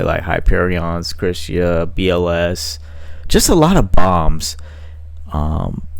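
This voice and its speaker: A man's voice: sits low, creaky, smooth, no echo. A man giving casual play-by-play commentary on a card game match, thinking aloud with frequent fillers. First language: not Italian.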